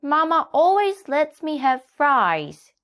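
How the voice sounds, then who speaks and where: A spoiled little donkey boy who whines and pleads in a high voice, dragging his words out slowly. He is stubborn and sulky, a storybook child who wants his own way, as a woman plays him. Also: sing-song, fairly bright tone, exaggerated voice. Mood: whiny. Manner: whiny, slow and pleading.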